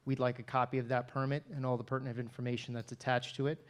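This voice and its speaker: A man speaking as a town planner gives formal, measured remarks at a planning board meeting in a town hall. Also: medium pitch, level tone.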